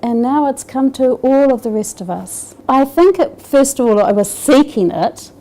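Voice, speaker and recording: A woman's voice: high-pitched, animated, moderate pace, mellow, poor audio. A middle-aged woman from Scotland, raised in New Zealand, speaking with a New Zealand accent, giving a hesitant personal testimony with many fillers and restarts. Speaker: interviewee.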